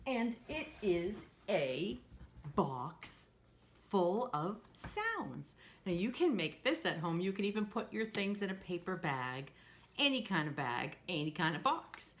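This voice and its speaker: A woman's voice: on the low side, very animated, unhurried, slight background noise. A woman hosting a children's storytime, talking simply and encouragingly to young children as she demonstrates a craft. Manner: refers to herself in third person.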